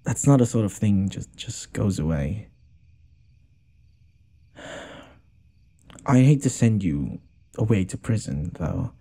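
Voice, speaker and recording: Casting: male actor for a smitten, apologetic noble lord in a boyfriend roleplay; husky, lively intonation, halting speech with sighs. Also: fairly low pitch, full character voice. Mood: reluctant, concerned. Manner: hesitant, stammering, sighing. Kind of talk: intimate boyfriend audio roleplay.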